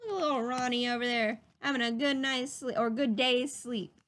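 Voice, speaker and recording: A woman reading a storybook aloud for children, expressive, with simple explanatory asides to young listeners. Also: fairly high pitch, normal speed.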